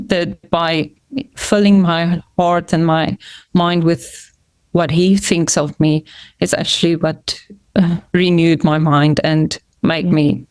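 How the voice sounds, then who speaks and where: A woman's voice: fairly low pitch, clear, resonant tone, dry recording. A middle-aged woman whose first language is Afrikaans, a podcast guest talking casually and hesitantly, with many fillers and restarts. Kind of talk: casual conversational interview.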